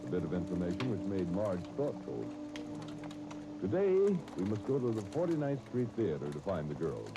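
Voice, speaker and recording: Animated American man, vintage radio announcer. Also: sits low, moderate pace, over music. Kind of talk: 1930s radio announcing, scripted. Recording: noisy 1939 radio broadcast disc.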